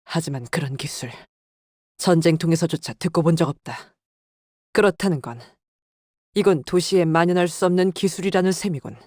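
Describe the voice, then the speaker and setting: A woman's voice: on the low side, moderate pace, pauses often, a little mellow, no echo, studio quality, natural voice. A woman voicing a proud, stern female military tactician in a game, with clipped, formal, commanding delivery. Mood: stern, scornful. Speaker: game character voice. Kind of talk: dramatic scripted game dialogue. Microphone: close, dry studio microphone.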